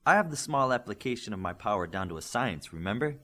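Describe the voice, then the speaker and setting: A man voicing a quick, proud and friendly girl superhero trainee in an audiobook, confident in manner. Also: fairly low pitch, very animated, pushed and bright, heavily acted.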